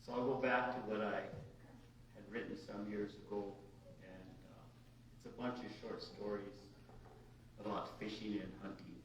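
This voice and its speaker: Man reading haltingly in a very echoey room. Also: on the low side, steady tone. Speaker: writer reading his work. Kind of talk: reading an autobiographical short story aloud. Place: large echoey indoor room at a live reading. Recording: very echoey room, distant dull mic, hiss.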